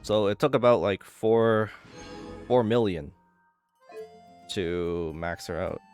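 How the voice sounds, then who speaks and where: A young man commentates casually on a video game in a low-pitched voice. He is low-key and thinks aloud, with game music softly behind him. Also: takes it slowly. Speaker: gaming video creator.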